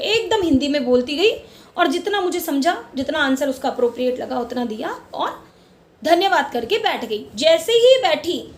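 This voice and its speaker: High-voiced passionate Indian woman vlogger. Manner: passionate, repeats words for emphasis, mixes English into Hindi. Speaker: channel host. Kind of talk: casual opinion vlog. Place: lives in Mumbai.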